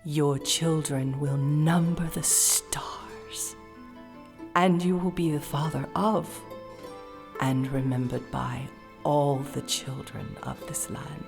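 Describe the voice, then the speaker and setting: An actress playing a solemn, resolute veiled wise woman and queen in an audio drama, speaking in measured, formal oratory. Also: sits low, steady tone, vocal fry, full character voice. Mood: solemn, reverent. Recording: long reverberant hall, music underneath.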